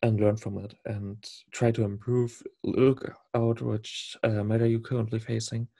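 A man from Germany speaks with a German accent and a low, gravelly voice. He talks casually as a podcast guest, breaking off and restarting words and chuckling now and then. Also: little pitch movement, a little slow, dark tone. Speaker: podcast guest, competitive game player.

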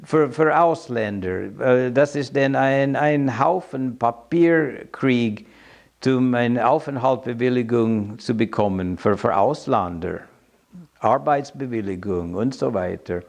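A man in his seventies with an American accent and English as his first language, giving a reflective personal account and haltingly searching for words. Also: medium pitch, creaky. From United States. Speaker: interviewee telling his migration story. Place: quiet room indoors.

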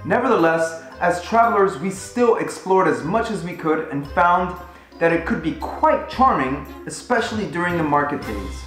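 A cheerful man in his thirties tells the story of a road trip in a relaxed, chatty way, with his voice sitting a little higher than most men's. The sound is roomy and echoey, with soft music playing underneath him. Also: moderate pace. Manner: upbeat and conversational. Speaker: travel vlogger. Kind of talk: casual travel narration. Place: living room at home, indoors.

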